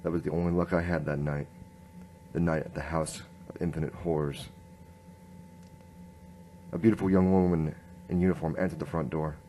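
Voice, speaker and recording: A man acts out a weary, wry detective narrating a noir radio play. His voice is very low and deadpan, over faint background noise. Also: moderate pace, pauses often. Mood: brooding. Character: world-weary, wry, deadpan male noir police inspector.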